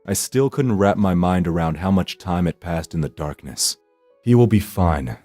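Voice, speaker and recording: A man with a low, dark voice narrates a visual novel. He reads the script in a brooding, theatrical way over faint music. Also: lively intonation, moderate pace, no echo. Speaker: gameplay channel host reading aloud.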